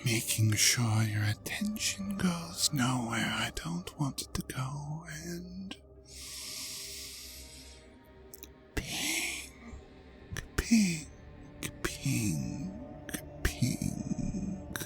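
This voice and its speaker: A performer voicing a seductive, smug ancient dragon god in an intimate audio drama, teasing and coaxing the listener with breathy, half-whispered phrases. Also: mid-range voice, wildly swooping pitch, drawn-out words, music in the background, exaggerated voice. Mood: seductive, hushed.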